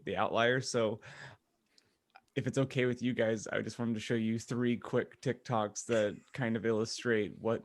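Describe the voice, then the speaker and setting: A man co-hosting a podcast in casual comedic chat, talking in a jokey, halting way. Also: on the low side. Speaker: podcast co-host.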